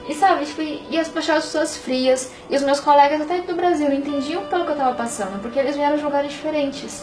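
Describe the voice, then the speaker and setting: Quick, high-voiced young woman. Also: recorded from a distance, poor audio. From Bahia, Brazil. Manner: fast, animated, peppered with "sabe?". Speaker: interview guest. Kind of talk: casual interview talk.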